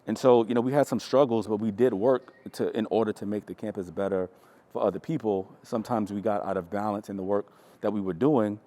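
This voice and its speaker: A man in his forties, a mentor leading a relaxed panel chat in a loose, reflective way. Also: on the low side, fairly quick. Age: 40s. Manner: reflective, loose, asks with repeated questions. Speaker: staff mentor leading the discussion. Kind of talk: casual panel conversation.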